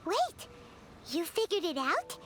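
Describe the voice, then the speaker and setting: A woman voicing a tiny, childlike female sidekick creature in a video game, squeaky, eager and inquisitive. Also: huge pitch swings, slow pace, fairly bright tone, exaggerated voice. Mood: eager, surprised. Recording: game music underneath.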